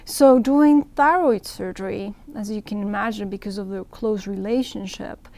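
Creaky-voiced woman from Ecuador explaining. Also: mid-range voice, moderate pace, warm tone, dry recording. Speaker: interviewed surgeon. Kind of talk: explanatory medical interview. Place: indoor event room with banner backdrop.

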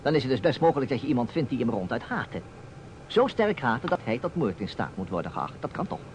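A man's voice: medium pitch, brisk, lightly acted. A man acting a playful, argumentative storyteller who steers a detective game in a Dutch radio play. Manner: animated, theatrical. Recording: old radio recording, faint noise.

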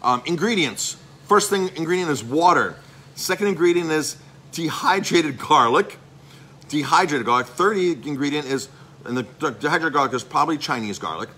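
Chatty bright-voiced chef. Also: male voice, on the high side, expressive, moderate pace, breathy edge. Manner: chatty, salesy, filler-heavy rambling. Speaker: chef and restaurant owner. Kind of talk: casual live-stream update. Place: restaurant.

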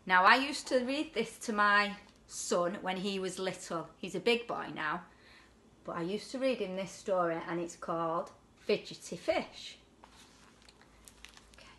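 A woman in her forties reads a picture book to children in an animated, deliberate way, leaning on the rhyming words. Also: medium pitch. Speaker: deputy headteacher reading a children's story. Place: indoors at home on a sofa.

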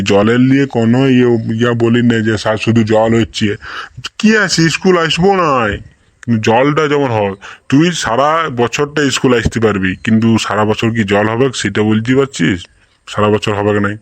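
A man's voice: medium pitch, no room sound, natural voice. A man playing a folksy village schoolteacher in a comic cartoon, lecturing in a rural western Bengali accent and often checking that he is understood.

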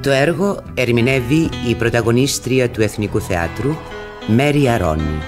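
A man's voice: medium pitch, expressive. A man working as a radio announcer, reading formal scripted programme credits. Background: music.

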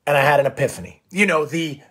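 A hoarse, raspy man in his forties hosting a coaching show as a live monologue, fired up and animated, recorded on a phone close to the face in a small room. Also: on the high side, fast. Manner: fired-up, animated, gestures a lot. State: hoarse from coaching all day. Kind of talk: energetic Facebook Live monologue.

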